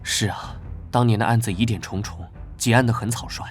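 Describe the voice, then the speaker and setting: An actor playing a guarded, prickly young knight vice-captain who speaks curtly and earnestly, in an animated drama dub. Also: male voice, on the low side, fairly quick, natural voice. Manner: curt, wary and earnest. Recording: background music under dialogue.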